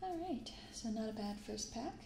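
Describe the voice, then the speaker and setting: Young woman, soft-spoken ASMR host, hushed and unhurried, gravelly fry, roomy sound with background noise. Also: fairly high pitch, lively intonation. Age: young adult. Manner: hushed, unhurried reading aloud and chatting. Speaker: YouTube card-opening host. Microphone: close binaural microphone.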